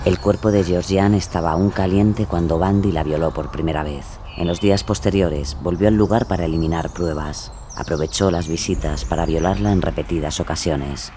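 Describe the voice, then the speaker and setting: A man's voice: low voice, moderate pace, noisy background. A man reading scripted true-crime narration.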